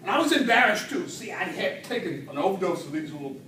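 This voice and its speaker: A man delivering a humorous tall-tale speech to an audience in a theatrical way, with dramatic pauses and swells. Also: high-pitched, big pitch swings. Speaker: speech contest storyteller at a Toastmasters club. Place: indoor room with an audience. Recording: roomy, distant mic, faint noise.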